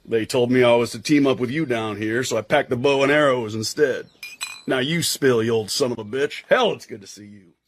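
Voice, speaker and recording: An actor playing a jaunty, irreverent American intelligence agent in a radio drama, husky and slangy, with an American accent. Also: male voice, medium pitch, a little fast, natural voice. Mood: jaunty, teasing. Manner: slangy, wisecracking.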